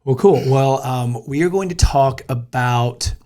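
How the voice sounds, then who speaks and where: This is an American man hosting a podcast interview. He talks in a friendly, easygoing way and often jokes. His voice sits at an ordinary middle pitch. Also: measured pace, a little mellow. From United States. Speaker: podcast host. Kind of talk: casual interview chat.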